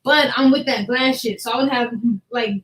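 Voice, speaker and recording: A woman's voice: high voice, moderate pace, a bit bright. A woman hosting a casual podcast, talking in loose slang and swearing freely. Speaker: host of a podcast. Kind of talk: casual chat show hosting. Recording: echoey room with background noise.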